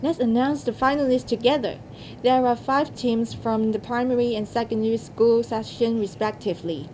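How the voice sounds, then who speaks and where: A woman's voice: on the high side, normal speed, darkish tone, slight background noise, low-quality recording. A woman co-hosting a results announcement, reading from a script.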